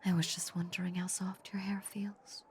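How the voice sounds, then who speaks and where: A woman playing a tender, possessive female vampire in an intimate ASMR roleplay, soothing and hushed, often slipping into whispers. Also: on the low side, flat delivery, moderate pace, slight background noise, close to an everyday voice. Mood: doting, wistful.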